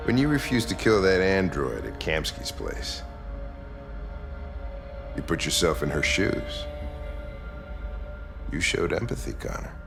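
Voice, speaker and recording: A man with an American accent playing a gruff, blunt, foul-mouthed middle-aged police lieutenant in a video game. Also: lowish voice. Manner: blunt, profane. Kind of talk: cinematic video game acting. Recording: music behind the voice.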